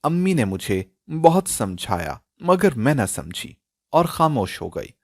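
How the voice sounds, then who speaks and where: A man reads a scripted dramatic story. His delivery is expressive and emotional, with animated pitch movement. The recording is dry and close. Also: slow, dry recording. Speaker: story narrator.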